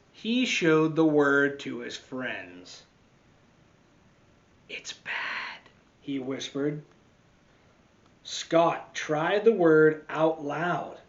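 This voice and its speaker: A man reading a picture book aloud to children as a teacher, expressively, with dramatic swings and deliberate pauses. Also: on the high side, dull audio. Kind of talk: animated children's storytime read-aloud.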